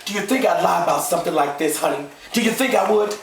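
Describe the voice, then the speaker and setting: A man's voice: sits high, expressive, fast, voice pushed up. A man voicing an anguished, enraged rural Southern father and domineering husband, pleading and exploding in folksy dialect. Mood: hurt, tender. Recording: roomy, distant mic, faint noise.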